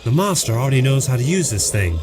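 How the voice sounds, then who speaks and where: An actor playing an earnest, commanding time-traveler hero in an audio drama, authoritative and excitable. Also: male voice, mid-range voice, fast talker, background noise, lightly acted. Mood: grave.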